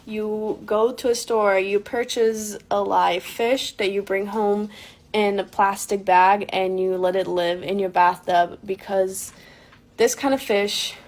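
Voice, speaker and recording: Mid-pitched woman, chatty and amused anecdote. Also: steady tone, slow. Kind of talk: casual anecdote to camera.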